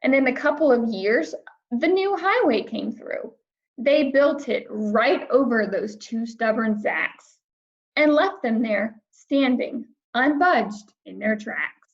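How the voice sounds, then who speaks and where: A woman's voice: a little high, lively intonation, takes it slowly, vocal fry, lo-fi sound. A woman schoolteacher reading aloud and talking to young children in simple, emphatic phrases. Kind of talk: children's read-aloud and lesson.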